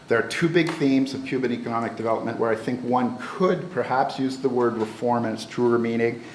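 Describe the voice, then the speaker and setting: A man delivers a measured, formal talk from a panel stage, stumbling on a word here and there. His voice is middle in pitch and echoes around a large auditorium. A faint background noise lies beneath. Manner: measured, formal, some repeated words. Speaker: panel speaker. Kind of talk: conference presentation. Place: lecture auditorium. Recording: roomy hall with faint noise.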